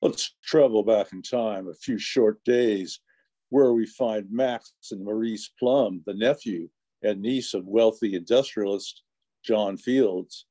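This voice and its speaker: A man's voice: fairly low pitch, slow pace, dark tone. An older man reading scripted narration for an old-time radio play.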